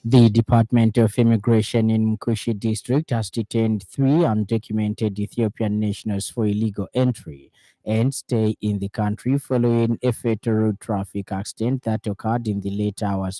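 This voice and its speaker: Zambian man, radio news anchor: lowish pitch, heavily mellow tone, scripted bulletin delivery. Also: dry recording, rough recording. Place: radio studio.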